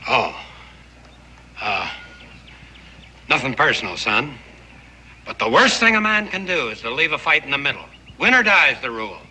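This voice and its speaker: Forceful, belligerent seafaring father. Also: medium pitch, sing-song, moderate pace, long pauses, slight background noise. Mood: goading, combative. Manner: blunt, goading. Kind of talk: TV western acting.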